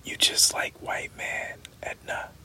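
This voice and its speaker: A reader voicing a skinny, sharp-tongued young woman, whispered throughout. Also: slow pace. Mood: scoffing. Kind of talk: whispered audiobook reading.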